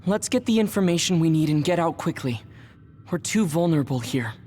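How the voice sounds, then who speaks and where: Man playing a calm young tactician, music behind. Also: on the high side, brisk, lightly acted. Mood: cautious. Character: calm, analytical young male tactician comrade. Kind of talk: English video game dub acting.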